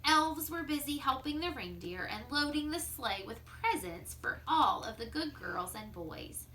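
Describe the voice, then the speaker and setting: Animated, high-voiced woman reading a storybook. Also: slight background noise, rough recording. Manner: expressive, drawn-out delivery with hushed asides. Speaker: teacher reading a picture book aloud. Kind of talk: animated children's story read-aloud with asides.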